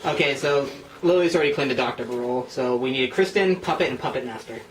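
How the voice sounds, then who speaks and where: A man's voice: mid-range voice, fast talker. A man hosting a script reading with friends, chatting casually and self-mockingly between stage directions. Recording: roomy, distant mic with faint noise.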